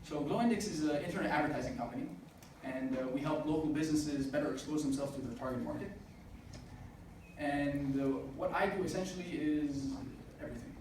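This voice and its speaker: A man's voice: fairly high pitch, fairly quick. A man in his twenties giving an earnest motivational speech at a business event, with frequent "uh" fillers. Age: 20s. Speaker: speaker at a student business event. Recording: echoey hall recording from far off, noisy.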